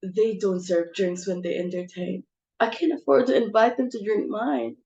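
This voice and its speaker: An imperious society hostess, acted by a woman in a stage comedy reading. She is snobbish and quick to exasperation, scolding and fussing in a theatrical way at a middling pitch. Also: creaky, warm tone, muffled recording, natural voice. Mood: snobbish, dismissive.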